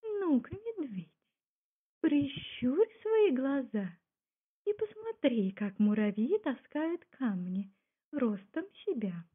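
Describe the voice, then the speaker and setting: Lady doing a sly folk tale fox, high singsong voice, slow teasing drawl. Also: very animated, husky, exaggerated voice. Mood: coaxing. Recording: muffled low-fidelity home recording.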